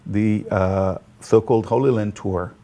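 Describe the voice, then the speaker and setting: A man from Israel with an Israeli accent and Hebrew as his first language, talking as a guest and tour guide in a halting way, full of fillers and restarts. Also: fairly low pitch, fairly even intonation, mellow. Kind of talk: casual conversation.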